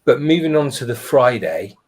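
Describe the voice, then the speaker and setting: A man telling a personal story on a live webinar, reflective and earnest, pausing and restarting as he goes. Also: medium pitch, sing-song, darkish tone, slightly rough. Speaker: webinar panelist.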